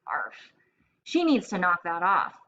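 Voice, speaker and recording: Smug, exclamatory small male hamster for a storybook, performed by a woman; lively delivery, slightly dull recording. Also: fairly low pitch, a little slow, heavily acted. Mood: smug, fussy. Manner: self-satisfied, exclamatory, asks and answers himself. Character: boastful, excitable little male hamster diarist.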